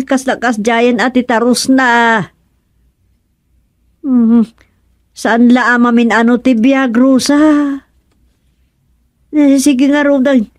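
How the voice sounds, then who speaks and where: A fussing Ilocano village wife, dry close sound. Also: female voice, on the high side, a little mellow, no room sound. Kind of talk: Ilocano radio comedy drama acting.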